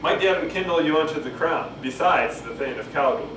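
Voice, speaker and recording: A man doing a halting, rambling, unrehearsed read-aloud of Shakespeare, stumbling and going back over lines. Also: a little high. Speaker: amateur reader of a play. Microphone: camcorder microphone at a distance. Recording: roomy, distant camcorder mic with clear noise.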